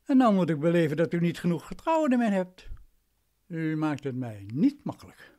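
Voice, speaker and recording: Radio play role: condescending, domineering male lawyer, highish voice with very animated intonation. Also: normal speed. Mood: self-justifying. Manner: patronising, imperious.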